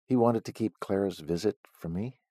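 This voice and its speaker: A man's voice: lowish voice, normal speed, mellow, dry recording, clean studio sound, natural voice. A man voicing a stern, impatient middle-aged ranch boss who talks tersely. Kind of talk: audiobook character voice.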